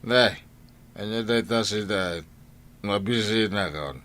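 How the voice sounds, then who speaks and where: An actor with an Ilocano accent playing a gruff, drunken, quick-tempered father who scolds, in a radio drama. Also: on the low side, fairly bright tone. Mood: angry, demanding. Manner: blustering, scolding.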